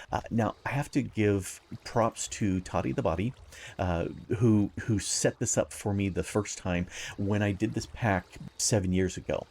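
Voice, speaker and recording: A man with a raspy early-morning voice, giving casual gameplay commentary as host, upbeat and chuckling often while he rambles through explanations. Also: on the low side, a little mellow.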